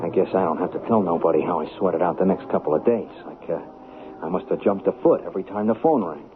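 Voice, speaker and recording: An actor playing a desperate, whining small-time hustler in a 1970s radio drama, with slangy, stammering streetwise delivery. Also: male voice, low-pitched, quick pace, natural voice. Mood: sour storytelling. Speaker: actor and first-person narrator. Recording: tinny, noisy old radio broadcast.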